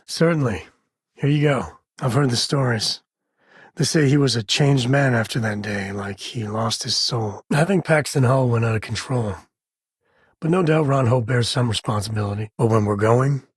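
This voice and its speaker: A husky, dry-recorded voice for an easygoing space cowboy lawman in a video game. He talks in a folksy, teasing way with dry wit. Underneath it he comes across as protective and loyal. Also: medium pitch, no room sound. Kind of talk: video game character voice lines.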